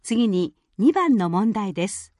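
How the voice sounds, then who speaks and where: A female exam announcer delivers scripted instructions formally and with careful enunciation. Her pitch is mid-range with lively, widely varied intonation. The sound is dry, with no room echo. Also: a little fast.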